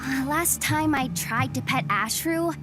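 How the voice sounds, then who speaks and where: Very high childlike cheeky girl. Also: a little slow. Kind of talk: video game voice acting. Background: loud music.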